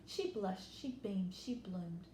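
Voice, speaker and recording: A woman reads a picture book to children in a dramatic, expressive style. Her mid-pitched voice swoops and falls to hushed tones, recorded in an echoey room. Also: takes it slowly. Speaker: story reader. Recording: roomy echo, faint background noise.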